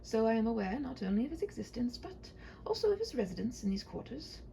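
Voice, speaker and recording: Woman as a storybook princess: young, prim, brave yet scared, highish and expressive. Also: quick pace, creaky, a little hiss or hum. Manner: prim, earnest, formal.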